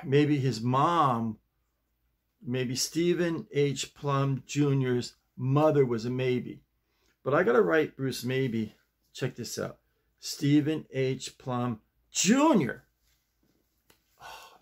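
An American man in his sixties chatting casually to camera in a halting, digressive way, often repeating his phrases. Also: mid-range voice. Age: 60s. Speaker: vlogger. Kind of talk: rambling casual chat to camera. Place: small home office, indoors.

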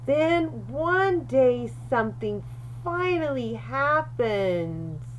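A woman reading a children's picture book aloud in an expressive, deliberate storyteller's manner. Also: high voice, slight background noise, low-quality recording. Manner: animated, deliberate, with questions and asides to young listeners.

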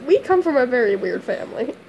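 A young woman jokes with her sister on a phone held at arm's length in a dark bedroom. Her voice is very high and lively, and she pokes fun in quick bursts. Faint background hiss runs under her. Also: creaky, dull audio. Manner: teasing, quick banter. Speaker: vlogger. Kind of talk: casual chat. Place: dim bedroom at night.

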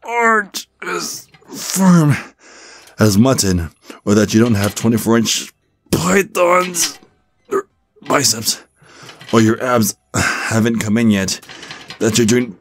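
Man playing a deep-voiced, supportive werewolf gym bro. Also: expressive, a little slow, darkish tone, slight background noise. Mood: straining, encouraging. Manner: hesitant, stammering, encouraging. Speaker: voice actor in an ASMR roleplay. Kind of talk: ASMR roleplay, one-sided conversation.

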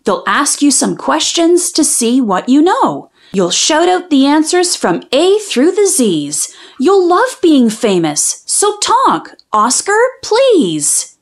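A woman reading a children's picture book aloud in an expressive, sing-song storytelling manner, drawing out the rhyming lines. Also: sits high, slow pace.

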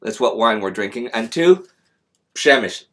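A man's voice: medium pitch, animated, measured pace, bright, forceful tone. A middle-aged man with an American accent, chatting casually as he hosts a live stream. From United States. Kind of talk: casual chat, answering audience questions. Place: small indoor room, seated.

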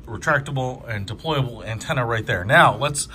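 A man's voice: medium pitch, moderate pace, background noise. A man giving a casual hands-on product review in an informal, enthusiastic, conversational way.

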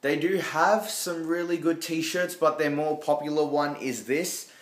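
A young man with a slightly high voice rambles through a casual vlog, full of ums and restarts. He holds his phone at arm's length in a small home kitchen. The room gives his voice a hollow, echoey ring. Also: steady tone, a little slow. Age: young adult. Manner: rambling, lots of fillers and restarts. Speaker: vlogger. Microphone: phone held at arm's length.

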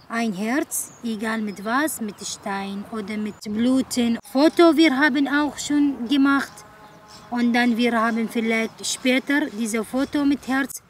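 Slow-speaking Iraqi-born woman, simple German. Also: fairly high pitch, a little hiss or hum. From Iraq. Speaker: garden project participant. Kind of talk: documentary interview. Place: outdoor garden.

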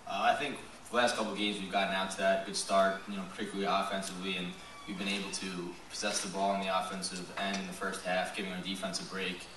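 Low, flat young man, fast, echoey. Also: noisy background. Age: young adult. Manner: quick and flat, hedges with "kind of". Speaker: college lacrosse player. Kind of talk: postgame press conference answers. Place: press conference room. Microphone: table mic, recorded from a far camera. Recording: roomy echo from far off.

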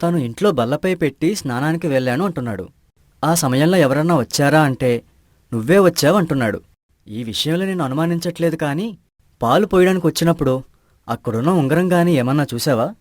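A man plays A calm, inquisitive village friend from an animated moral tale. His voice is a touch high and he questions with patient reassurance, in a dry recording. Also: brisk, no room sound, close to an everyday voice. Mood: calm, tactful. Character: level-headed village friend investigating patiently. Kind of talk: animated moral story dialogue.

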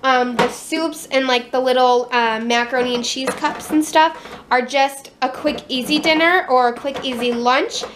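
A woman in her twenties with an American accent, talking casually through items for a home vlog in short, halting phrases. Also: high-pitched, steady tone. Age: 20s. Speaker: family vlogger. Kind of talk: casual show-and-tell vlog narration. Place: home kitchen. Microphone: handheld camera at arm's length. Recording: noisy handheld camera audio in a kitchen.

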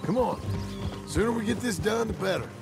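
An actor playing a worried, regretful American outlaw in a video game, with a gravelly voice and a weary rustic drawl. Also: male voice, high voice, brisk. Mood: businesslike. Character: worried, brooding male outlaw gunslinger, regretful father. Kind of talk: video game dramatic dialogue. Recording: loud music, horse hooves behind.

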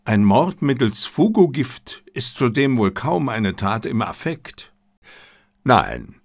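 A man reading the part of a confident, gently mocking detective with an assured, lecturing manner in an audiobook. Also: mid-range voice, very animated, slow pace, no room sound, studio quality, exaggerated voice. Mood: dry, lecturing.

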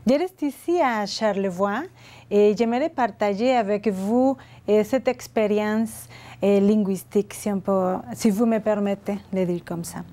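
A woman in her forties, a native Spanish speaker with a Mexican accent in French, teaching a beginner language lesson in a deliberate, carefully enunciated way. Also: medium pitch, mellow. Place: indoor studio set with chalkboard. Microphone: lapel.

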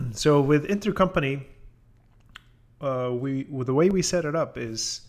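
Man narrating software tutorial, creaky voice. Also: mid-range voice. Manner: informal, thinking aloud step by step. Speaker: software instructor. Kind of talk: unscripted screen-share tutorial narration.

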